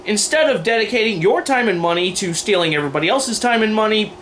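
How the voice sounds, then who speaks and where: A man with a notably high voice hosts an opinion video. He delivers scripted commentary with sarcasm and firm emphasis. There is faint room noise behind him. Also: expressive, fast talker, a bit bright. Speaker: video host. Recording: noisy room recording.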